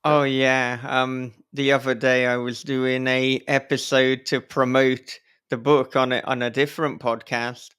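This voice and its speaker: A man hosting a casual interview podcast, speaking in halting, thoughtful phrases as he talks with a guest. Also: mid-range voice, level tone, a bit bright, clean tone.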